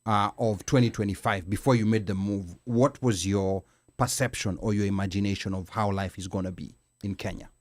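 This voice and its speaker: A man's voice: on the low side, steady tone, a little fast. A man hosting a podcast interview, asking long questions with many fillers and restarts. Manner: fills with "okay" and "uh", restarts questions. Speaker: podcast host.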